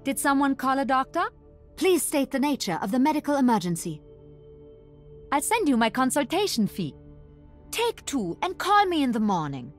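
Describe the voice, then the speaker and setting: An actress voicing a caring female combat medic with a crisp, wry delivery. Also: sits high, very animated, normal speed, faint music behind. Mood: playful. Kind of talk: game character voice lines.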